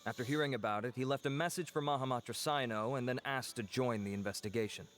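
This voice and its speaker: A man's voice: medium pitch, fast, fairly bright tone, close to an everyday voice. A man playing a dutiful investigating officer in a video game, formal and businesslike. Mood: official.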